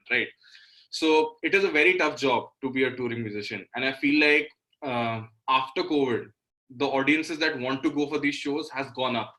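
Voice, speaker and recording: Young man, Indian accent, bright forward voice, earnest, conversational interview guest. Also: medium pitch, poor audio. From Delhi, India. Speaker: interview guest, indie singer-songwriter. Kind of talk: casual conversational interview answers.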